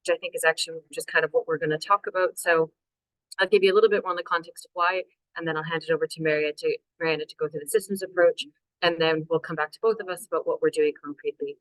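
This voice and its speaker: A woman's voice: sits low, steady tone, racing, no echo, rough recording. A woman in her thirties with a Canadian accent, giving a chatty webinar talk on a video call about her local authority's climate work, with lots of fillers. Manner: chatty, self-deprecating, many fillers. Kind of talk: webinar presentation.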